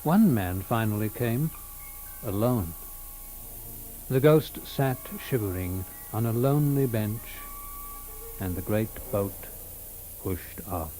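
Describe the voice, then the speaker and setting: A man giving a dramatic literary narration of a story in a solemn, measured manner. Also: lowish voice, expressive, dark tone, faint music behind, low-quality recording.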